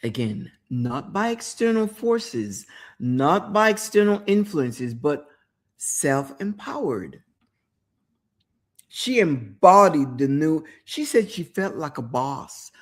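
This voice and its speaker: A woman host delivers a motivational talk at an unhurried pace, her pitch rising and falling in wide, lively swings. She repeats key words for emphasis and turns to address the listener directly with questions. Her speaking voice sits at a middle pitch. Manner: storytelling with quoted inner thoughts.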